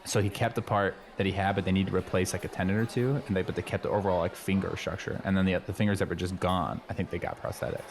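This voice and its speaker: Casting: talkative, earnest high-school kid, fairly deep voice, loose casual improv comedy delivery. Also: male voice, fairly even intonation, fast, vocal fry, few pauses, slight background noise. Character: chatty, nosy, friendly teenage schoolboy showing newcomer around. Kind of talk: improvised comedy dialogue, naturalistic casual chat.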